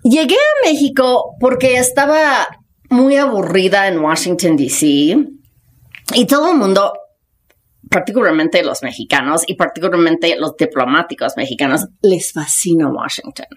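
A lower-pitched woman in her fifties with an American accent tells her life story as a podcast guest. Her tone is casual and frank, full of slang. English phrases slip in because it is her first language. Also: sing-song, normal speed. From United States.

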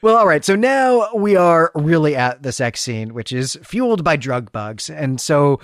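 A man's voice: a little high, lively intonation, a little slow, no room sound. Informal man recapping a story, dry sound. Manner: informal, self-correcting. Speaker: podcast co-host.

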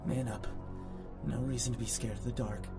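A man's voice: medium pitch, flat delivery, moderate pace, natural voice. A man playing a frightened, foul-mouthed ordinary guy in a horror audio drama, muttering and exclaiming to himself. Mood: nervous. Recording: music behind the voice.

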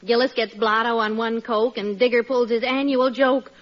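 An actress playing a sensible, skeptical, exasperated wife in a 1940s radio sitcom. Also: female voice, on the high side, little pitch movement, moderate pace, close to an everyday voice. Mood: wry. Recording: dull vintage 1940s radio recording.

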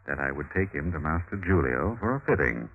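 A deep-voiced, scheming Renaissance nobleman, glides through courtly phrases with sweeping pitch. It is theatrical 1950s radio drama, falsely friendly underneath. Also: animated, brisk, creaky, husky, soft background music, full character voice. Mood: cool scheming. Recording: muffled narrow-band old radio recording.

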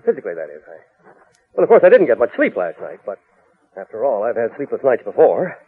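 An actor playing a weary, embarrassed middle-aged police inspector, hemming and exasperated, in a 1940s radio serial. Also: male voice, medium pitch, wildly swooping pitch, fast talker, husky, phone-quality sound. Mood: flustered. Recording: thin, band-limited vintage radio recording.

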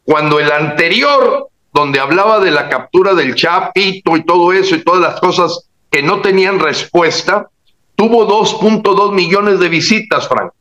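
A man talks politics in an emphatic, indignant way, often stumbling and restarting his sentences. His voice sits a bit high and has a bright, forceful edge. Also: lively intonation, moderate pace, poor audio. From Mexico. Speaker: guest commentator. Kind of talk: casual political chat.

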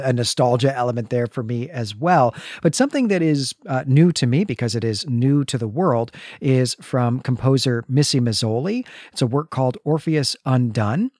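A man's voice: mid-range voice, darkish tone, dry recording, studio quality. A man co-hosting a podcast, talking in a casual, informed and appreciative way. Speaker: podcast co-host.

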